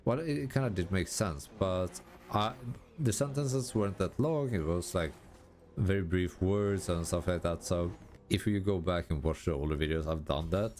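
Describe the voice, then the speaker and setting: A Swedish man, a gaming YouTuber, talking casually in halting, self-correcting sentences and laughing at himself over his English pronunciation. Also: sits low, a little mellow. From Sweden. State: tired. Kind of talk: casual commentary while gaming.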